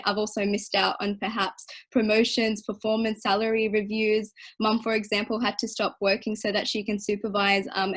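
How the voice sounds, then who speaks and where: A woman moderating a panel, speaking in a measured, articulate way. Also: medium pitch. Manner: measured, articulate, even delivery. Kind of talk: reflective panel talk.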